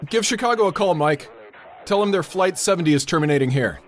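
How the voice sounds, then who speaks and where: An actor playing a brisk, steady airport tower controller under pressure, in a radio drama style. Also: male voice, high-pitched. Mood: businesslike.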